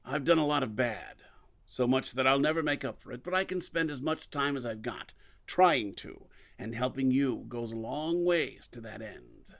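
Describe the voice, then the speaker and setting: A man playing a secretive, commanding, guilt-burdened mentor in an audio drama, speaking deliberately and cryptically. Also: mid-range voice, animated, vocal fry, a bit bright. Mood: stern, aggrieved. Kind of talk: audio fiction podcast acting.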